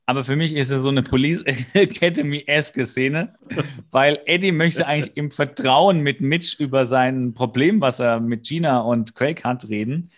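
A man's voice: mid-range voice, normal speed. A man co-hosting a podcast, retelling a TV episode in casual chat with playful, mock-serious asides. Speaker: podcast co-host.

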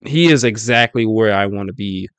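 A man's voice: lowish voice, normal speed, a bit bright, clear, resonant tone, no room sound, clean studio sound. A young man hosting a casual podcast chat. He talks in a laid-back way, laughs often and restarts his phrases. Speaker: podcast host. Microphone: podcast mic.

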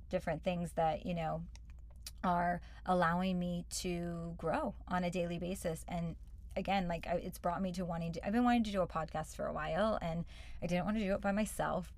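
A woman co-hosts a relaxed podcast chat in a fairly low voice. She rambles from thought to thought and leans on "like" and "you know" as she goes. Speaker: podcast co-host. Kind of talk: casual chat.

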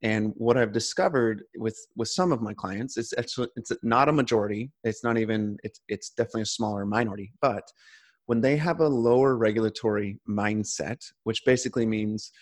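A man's voice: fairly low pitch, brisk. A man in casual conversation, talking earnestly with frequent restarts.

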